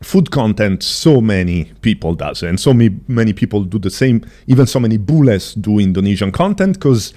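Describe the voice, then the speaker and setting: A man hosting a casual podcast interview, asking questions in a halting, chatty way. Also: fairly low pitch, animated, mellow. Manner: halting and chatty, with frequent restarts and drawn-out phrasing. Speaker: podcast host.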